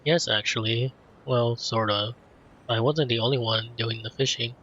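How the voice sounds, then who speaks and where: A man's voice: mid-range voice, steady tone, normal speed, fairly bright tone. A man doing laid-back, conversational let's play commentary as he games. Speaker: gamer, let's player. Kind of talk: casual gameplay commentary, reading dialogue choices. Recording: dull lo-fi mic, faint game music.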